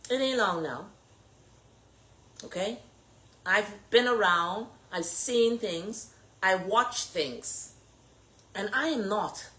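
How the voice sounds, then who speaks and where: A woman with a Bahamian accent delivers a fervent political speech at a middling pitch. She is emphatic and confrontational, pressing her listeners with pointed rhetorical questions. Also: lively intonation, takes it slowly, pauses often, a bit bright. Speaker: political candidate. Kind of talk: impassioned political address.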